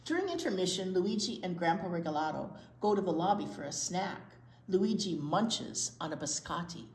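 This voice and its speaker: An American woman reading aloud at a storytime for young children, expressive, with drawn-out words and chatty asides. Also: lowish voice. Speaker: children's librarian and storytime reader. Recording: roomy echo, faint background noise.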